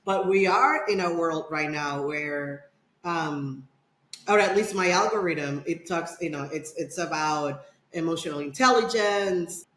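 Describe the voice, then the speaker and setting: A woman's voice: low-pitched, unhurried, fairly bright tone. Middle-aged woman guest, rambling story, roomy echo. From Dominican Republic. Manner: rambling, many fillers and restarts. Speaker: podcast guest. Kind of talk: casual personal storytelling. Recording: echoey room.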